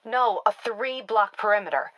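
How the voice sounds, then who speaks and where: A tough female police captain played by an actress for a video game. Her voice is bright and forceful, in a very dry, close recording. She speaks in a clipped, authoritative way, dutiful and a little wry. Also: mid-range voice, normal speed, no echo, close to an everyday voice, low-quality recording. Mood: urgent. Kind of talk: video game dialogue acting.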